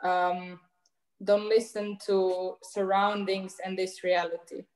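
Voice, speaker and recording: A woman's voice: medium pitch, level tone, takes it slowly, a little mellow. A woman asking a hesitant question on a video call, searching for her words. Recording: roomy video call audio.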